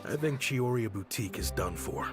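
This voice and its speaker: A man's voice: mid-range voice, lively intonation, normal speed, loud music behind the voice, close to an everyday voice. A man playing a judgmental, gossiping townsman in a video game. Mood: dismissive.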